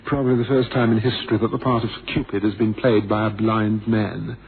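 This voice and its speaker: An actor playing a blind former pilot, theatrical and bitterly witty, with a wry, wordy, ironic manner, in a radio drama. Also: male voice, fairly low pitch, little pitch movement, a little fast, close to an everyday voice. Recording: noisy, thin phone-like old radio recording.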